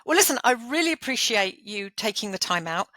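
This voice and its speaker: A woman's voice: medium pitch, big pitch swings, fairly quick, bright, forceful tone, low-quality recording. A woman hosting a podcast, reading a polished introduction and then chatting with her guest. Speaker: podcast host. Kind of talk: scripted intro then interview chat.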